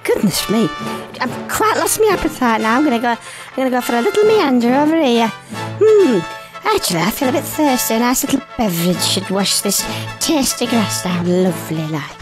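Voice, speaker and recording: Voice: mid-range voice, sing-song, moderate pace, close to an everyday voice. A performer voicing a prim, chatty talking cow in a video game, fussy and genteel, full of exclamations, with music underneath.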